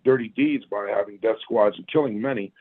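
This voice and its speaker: A hoarse man with a raspy voice and a sore throat, a retired army sergeant guest explaining his views in a casual interview. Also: fairly low pitch, a little fast, dry recording. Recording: tinny phone-line call.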